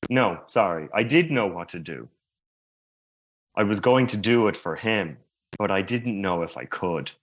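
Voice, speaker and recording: A man who stutters giving a slow, reflective personal talk at a conference. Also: low voice, lively intonation. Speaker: conference speaker. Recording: muffled lo-fi sound.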